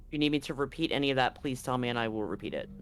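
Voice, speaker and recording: A man acting as game master, narrating a tabletop roleplaying game in a deliberate, halting way. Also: mid-range voice, fairly bright tone, a little hiss or hum.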